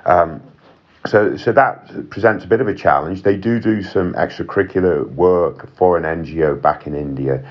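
A man co-hosting an advisory podcast, speaking in a measured way with frequent ums and restarts. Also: low voice, muffled recording. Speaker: admissions consultant co-host.

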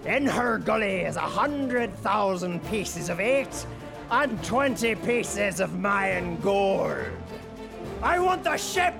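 An actor playing a crazed, greedy pirate captain in an audio drama, bellowing theatrically with a stage pirate accent. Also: male voice, very high voice, gravelly, full character voice. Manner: bellowing, theatrical, drawn-out words. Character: crazed, greedy old-time male pirate captain rallying crew.

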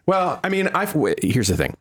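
Playful man hosting a podcast, lively voice. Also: on the high side, normal speed. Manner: playful, with mock-dramatic flourishes. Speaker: podcast host. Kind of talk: casual podcast chat.

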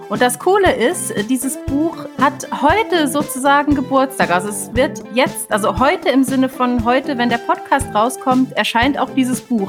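A woman with a fairly high voice co-hosts a podcast in a casual, chatty style. Music is clearly audible behind her as she talks. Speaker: podcast co-host, author.

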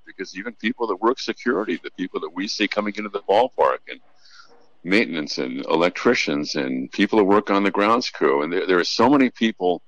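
Low-voiced man in a sports interview. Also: muffled recording. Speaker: radio play-by-play announcer, interview guest.

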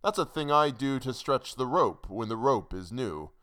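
A man voicing a sinister, mocking hangman in a dramatic poem, taunting in deliberate riddles. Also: mid-range voice, animated, bright, forceful tone. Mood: amused scorn. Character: sinister, mocking hangman, knowing and businesslike executioner. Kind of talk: dramatic poetry recitation.

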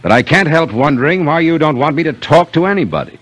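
An American actor playing a dogged police inspector in a 1950s radio detective drama, clipped, probing and dryly skeptical. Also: male voice, fairly high pitch, sing-song, quick pace, a bit bright. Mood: brisk, commanding.

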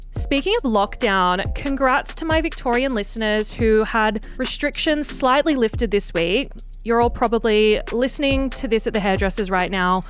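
A young woman hosting a gossip podcast, sarcastic and irreverent, swearing freely as she mocks reality TV. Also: fairly high pitch. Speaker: podcast host. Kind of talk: casual gossip podcast monologue.

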